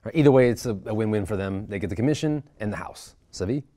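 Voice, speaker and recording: A man's voice: on the low side, animated, quick pace, dark tone, lightly acted. An actor at a script table read voicing an abrasive, foul-mouthed male boss realtor who barks insults at his employee. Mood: bossy. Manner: crude, domineering, barking insults.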